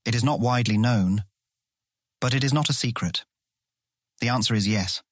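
A man playing a proud guild member in a fantasy audio drama, explaining things in a measured way. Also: mid-range voice, slight background noise, natural voice. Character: proud, patient male guild member showing a newcomer around. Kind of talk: full-cast fantasy audiobook acting.

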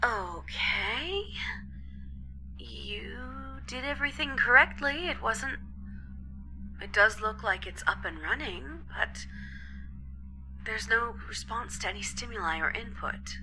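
A woman's voice: fairly high pitch, animated, slow pace, long pauses, bright, forceful tone, soft background music, exaggerated voice, low-quality recording. A woman playing a polite, earnest, literal-minded base representative in an audio drama. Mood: hesitant, careful.

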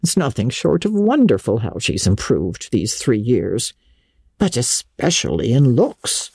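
A woman's voice: rumbling low, sing-song, unhurried, vocal fry, darkish tone, husky, no room sound, clean studio sound, heavily acted. A woman voicing a blunt, opinionated older country woman with folksy, emphatic speech in a storybook reading.

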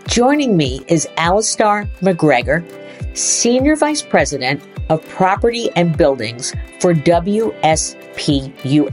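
A woman hosting a podcast, reading a scripted introduction and then chatting, who speaks expressively and slows down to stress key words. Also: low voice. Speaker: podcast host.

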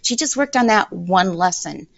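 A woman's voice: medium pitch, expressive, moderate pace, vocal fry, fairly bright tone, no room sound. An older woman, an English teacher, giving emphatic advice in an interview and repeating points for stress. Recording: dull lo-fi dry sound.

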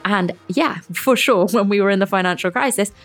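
Woman podcast co-host, Tamil first language, animated, laughs a lot. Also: medium pitch, fairly quick, soft background music. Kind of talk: casual chat.

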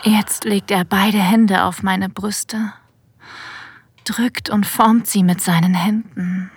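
A woman playing a sensual, confident boss in an erotic audio drama, narrating intimately in a breathy whisper, ASMR style. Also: medium pitch, fairly even intonation, no room sound. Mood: aroused, intimate. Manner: slow, intimate, deliberate. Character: female boss having a secret affair, sensual and confident. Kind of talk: ASMR-like scripted first-person narration. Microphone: close, dry studio mic.

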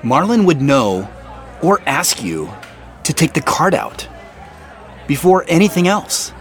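Earnest, animated, higher-voiced boss. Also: male voice, slow pace, soft background music, a faint crowd. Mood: accusing, probing. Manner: conversational, insistent, questioning. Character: earnest, probing male boss confronting his assistant. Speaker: actor in an audio drama. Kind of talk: naturalistic audio drama dialogue.

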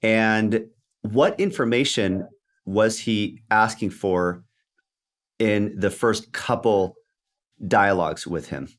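A man with a slightly low voice acts as interviewer. He speaks conversationally, putting questions to a guest and adding his own comments. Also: slow pace. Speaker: interviewer, host.

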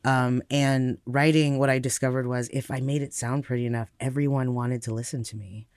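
A man's voice: medium pitch, flat delivery, a little fast, darkish tone, clean tone, no echo, clean studio sound. A man with an American accent telling personal stories reflectively and conversationally as an interview guest.

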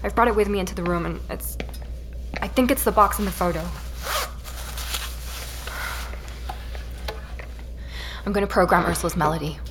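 A woman playing a shaken young woman who records a hurried, halting account in a naturalistic audio drama, sighing between phrases. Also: fairly low pitch, background noise, close to an everyday voice. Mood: anxious, defensive. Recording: music underneath.

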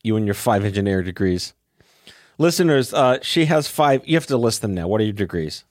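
A man's voice: fairly low pitch, expressive, fairly quick, darkish tone, dry recording, clean studio sound. A man hosting a healthcare podcast, talking casually with a joking, irreverent manner and the occasional swear. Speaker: podcast host. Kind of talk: casual interview chat.

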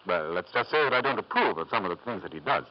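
A reserved family doctor in an old radio play, earnest and quietly disapproving. His voice is on the low side with wide inflection. The recording is dull, like an old broadcast. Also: animated, fast talker. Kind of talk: 1950s radio drama acting. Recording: muffled, noisy 1950s radio broadcast.

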